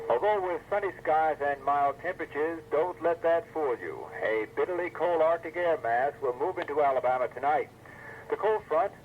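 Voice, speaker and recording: A man reading a scripted weather forecast as an announcer on an old weather radio broadcast. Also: a little high. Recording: muffled, noisy 1980s weather radio broadcast.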